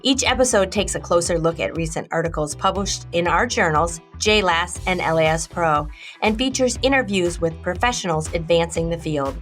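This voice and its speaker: A woman's voice: lowish voice, lively intonation, normal speed, faint music behind. A woman podcast host who is a veterinarian, reading a scripted intro and then chatting eagerly with her guest. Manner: enthusiastic, effusive.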